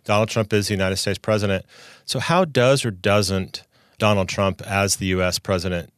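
A man hosting a podcast, reading a scripted introduction and asking interview questions in a measured, formal way, recorded in a studio. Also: on the low side, fairly bright tone, clean tone, dry recording. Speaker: podcast host. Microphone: studio microphone.

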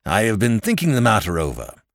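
Man playing a stiff older gentleman, anxious, formal, a touch lower and quieter. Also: wildly swooping pitch, dry recording, clean studio sound. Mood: pleading. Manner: formal, pleading, measured.

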